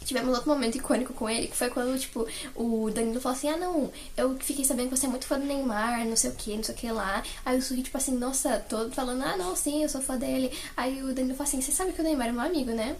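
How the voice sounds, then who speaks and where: A young woman with a Brazilian Portuguese accent chatting casually as a fan, in a gushing, animated way. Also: high-pitched, rapid-fire, hardly any pauses, a little hiss or hum. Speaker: fan vlogger. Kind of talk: casual chat recounting favourite moments.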